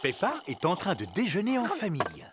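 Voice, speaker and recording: A woman's voice: fairly low pitch, huge pitch swings, fairly quick, over music. A woman narrating a French children's cartoon in a scripted storybook manner. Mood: calm.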